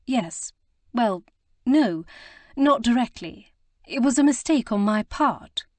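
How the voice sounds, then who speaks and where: Woman doing a flustered young lady, a bit higher and louder than her narrating, tripping over words, dry close mic. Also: expressive, slow, no room sound. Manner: breathless, stammering, eager to explain. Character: flustered, earnest young gentlewoman. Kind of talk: audiobook character reading.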